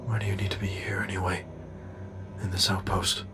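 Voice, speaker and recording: An actor playing a troubled, defiant soldier in a sci-fi drama, halting and intense in his delivery. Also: low voice, monotone, bright, forceful tone, slight background noise. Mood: subdued, wary. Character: troubled, haunted space soldier sergeant, defiant. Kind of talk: sci-fi audio drama acting.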